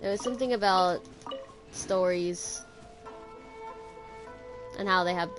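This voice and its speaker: Slow-paced woman streaming a game. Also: on the low side, music in the background. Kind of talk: casual live gameplay commentary.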